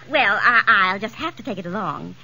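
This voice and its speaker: An actress playing a catty, gushing society gossip in a 1940s radio comedy, chatty and theatrical. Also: female voice, medium pitch, animated, measured pace, pushed and bright, exaggerated voice, rough recording. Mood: coy, insistent. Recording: hissy vintage 1940s radio recording.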